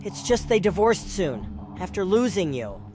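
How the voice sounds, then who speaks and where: A man's voice: high-pitched, sing-song, normal speed. A man playing a loyal, chipper young delivery worker in a visual novel game, with an eager, deferential manner. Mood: apologetic. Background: loud music.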